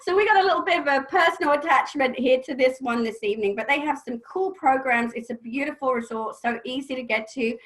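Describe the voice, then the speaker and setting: Brief: woman host, higher voice, chatty and relaxed, introducing guests on a video call. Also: fairly quick, few pauses. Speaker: webinar host. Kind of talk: casual introduction.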